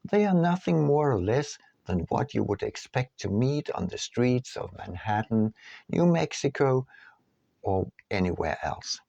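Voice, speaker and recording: A man reading his own book aloud as scripted audiobook narration, in measured, deliberate phrases. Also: medium pitch, big pitch swings, vocal fry, warm tone, no room sound, lo-fi sound.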